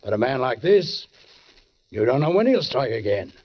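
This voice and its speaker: An actor playing a suspicious, deliberate police sergeant who narrates a murder case in a 1940s radio drama, with measured, pointed pauses. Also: male voice, medium pitch, sing-song. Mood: brusque, wry. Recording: dull 1940s radio recording.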